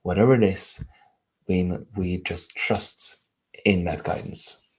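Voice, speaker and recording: A man with a Norwegian accent, hosting a live stream as a coach, speaking earnestly and gently while reading out viewer comments. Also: deep voice, takes it slowly, slightly rough. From Norway. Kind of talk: live-stream teaching and chat.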